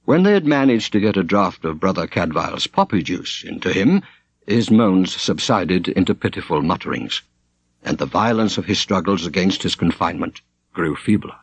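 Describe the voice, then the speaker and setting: A man narrating an audiobook in a measured, expressive storytelling style with formal diction. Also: deep voice, slightly rough, dry recording. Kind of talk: scripted literary narration, storytelling.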